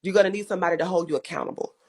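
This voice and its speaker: A woman's voice: fairly low pitch, steady tone, very fast. A woman with a strong voice giving earnest advice in a casual chat, repeating her points for emphasis. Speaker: guest in livestream chat.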